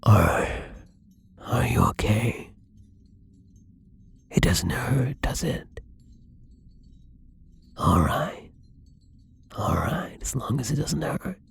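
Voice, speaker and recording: A very husky, breathy vampire acted by a man, newly turned and tender, in an intimate ASMR roleplay. The delivery is slow and halting, with gravelly fry at the edges and soft drops into whispering. The temper is gentle, careful and unsure. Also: medium pitch, sing-song, exaggerated voice. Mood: tender, reassuring.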